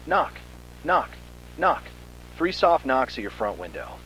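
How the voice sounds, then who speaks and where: A man's voice: medium pitch, very animated, slow, a bit bright, a little hiss or hum, rough recording. A man reading a scripted second-person horror story in a dramatic, suspenseful manner.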